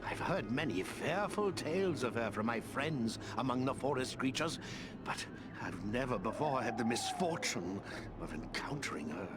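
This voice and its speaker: An actor playing a kindly, eccentric old woodland wizard in a fantasy game, chatty and expressive. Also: male voice, mid-range voice, normal speed, lightly acted. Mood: grave, chatty. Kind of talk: fantasy game character acting. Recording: loud music under dialogue.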